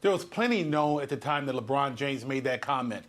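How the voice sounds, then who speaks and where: A man's voice: mid-range voice, expressive, a little fast, a bit bright. A man giving emphatic, rhetorical commentary in a heated interview, on a noisy clip.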